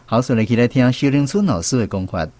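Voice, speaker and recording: A man's voice: mid-range voice, animated, quick pace, darkish tone, no echo. A man with a Taiwanese accent, speaking as a radio host leading a language quiz segment. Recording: music playing behind the voice. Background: instrumental music.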